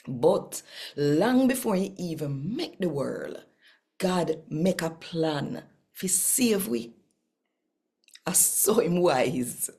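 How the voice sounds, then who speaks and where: A Jamaican woman with a husky, gravelly voice, hosting a scripture reading channel in a deliberate, emphatic manner. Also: lowish voice, mellow. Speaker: host and scripture reader.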